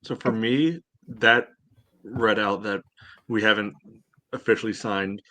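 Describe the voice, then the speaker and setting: A man co-hosting a sports podcast, discussing and analysing in a casual, measured way. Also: fairly low pitch, fairly even intonation, pushed and bright, no echo. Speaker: podcast co-host.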